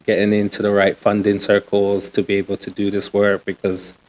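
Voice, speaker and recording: A man talks easily as an interview guest. His voice sits low, and he is relaxed and slangy, with plenty of ums and likes. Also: fairly quick, low-quality recording. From Uganda, raised in the UK. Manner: relaxed, slangy, many fillers. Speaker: guest, nonprofit co-founder. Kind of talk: casual interview talk.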